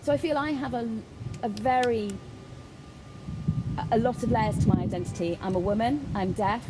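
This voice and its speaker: A woman voicing a signed personal statement as an interpreter, in halting phrases with pauses. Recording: clear background noise, ambient rumble.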